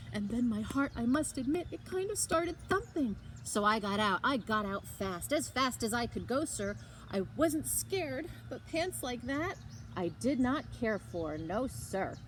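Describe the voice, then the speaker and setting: A woman reads a storybook aloud in a high voice that swings widely in pitch. She leans into dramatic emphasis and stretches out key words for young listeners. The recording carries faint background noise. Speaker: librarian reading aloud to children. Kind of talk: expressive storybook read-aloud.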